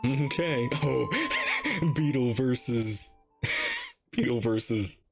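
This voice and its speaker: A man hosting a game reaction, commenting in an excited, exclamatory way and often repeating himself. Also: mid-range voice, slow pace, vocal fry, over music. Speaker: reaction video host.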